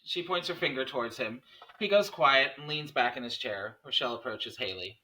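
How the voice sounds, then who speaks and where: Male narrator for a screenplay table read, very bright, sharp tone, scripted delivery. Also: medium pitch, lively intonation, fairly quick, poor audio. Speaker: narrator reading stage directions.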